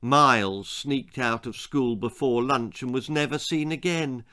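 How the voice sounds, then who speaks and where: A man's voice: mid-range voice, fairly bright tone. British man narrating a darkly comic children's story. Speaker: author reading his own story.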